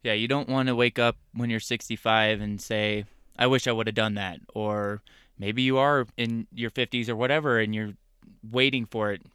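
A man co-hosting a casual podcast, joking and laughing easily. His voice is bright and crisp, with a dry, close sound. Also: on the low side, fairly even intonation, moderate pace, no room sound. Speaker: podcast co-host. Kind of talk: casual chat.

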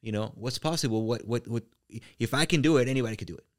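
A man from Mexico with a Mexican Spanish accent, an immigrant business owner telling stories as a podcast guest, animated, repeating words and using many fillers. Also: mid-range voice, quick pace. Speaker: real estate brokerage owner, guest. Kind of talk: casual podcast interview.

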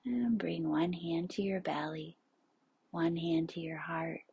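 A woman guides a relaxation session in a very breathy, hushed voice that often nears a whisper. Her pitch sits a little low. She speaks extremely slowly and soothingly, leaving generous space between short instructions. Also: fairly even intonation, muffled recording. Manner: soothing, unhurried, spacious pauses. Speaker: yoga nidra instructor.

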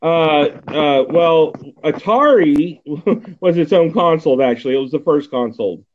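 A man's voice: fairly high pitch, expressive, unhurried, slight background noise. A man in his forties from Texas, hosting a casual podcast chat and rambling with many fillers and restarts. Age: 40s. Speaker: podcast host. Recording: tinny phone-like line.

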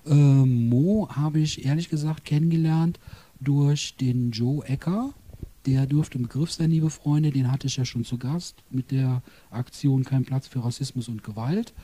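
A man's voice: medium pitch, fairly even intonation, a little slow, warm tone, breathy edge. A man hosting a podcast interview in a guest's apartment, chatty and rambling, with jokes along the way. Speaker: podcast host.

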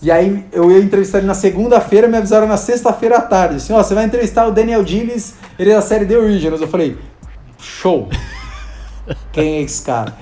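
A man's voice: sits high, fast, warm tone, soft background music. Chatty Brazilian man in an echoey room. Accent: Brazilian Portuguese. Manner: chatty, many fillers, acts out quotes. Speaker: interview guest, video creator and hospital clown. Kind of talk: casual conversational storytelling.

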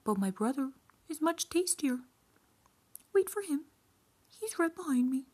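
A woman's voice: high-pitched, sing-song, measured pace, a little mellow, voice pushed up. A woman voicing a fat middle goat in a storybook, polite and cheeky, with a chirpy manner. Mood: cheeky, pleading.

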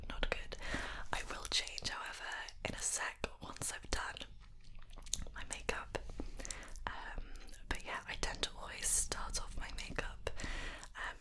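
A woman chatting in a soft ASMR whisper while doing her makeup, slightly nasal from hay fever, with many relaxed "um"s. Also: low-quality recording. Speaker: beauty YouTuber. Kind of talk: whispered ASMR get-ready-with-me chat.

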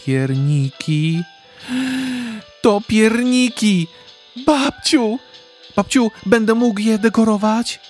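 An eager little boy who bubbles over with excitement, his voice jumping high and bright as a man puts him on. He swings widely in pitch. Soft guitar music plays behind him. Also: slow pace. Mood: excited. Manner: high, bouncy, exclaiming.

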